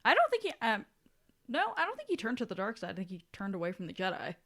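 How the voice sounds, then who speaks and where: A woman co-hosting a podcast, speaking in casual, animated banter. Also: mid-range voice, fast. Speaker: podcast co-host.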